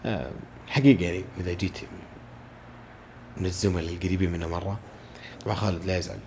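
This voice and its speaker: A man talks in quick, eager bursts, his voice sitting very low. He is a voice-over artist answering questions as a guest in a relaxed podcast chat, with a faint hiss behind him. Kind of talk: casual conversation.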